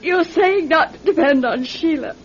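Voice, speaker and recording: A woman's voice: sits high, normal speed, natural voice. An actress playing an anxious, distraught woman in a radio drama, pleading and emotional, fearing for her sister. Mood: tearful, guilty. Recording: muffled vintage radio recording, hissy.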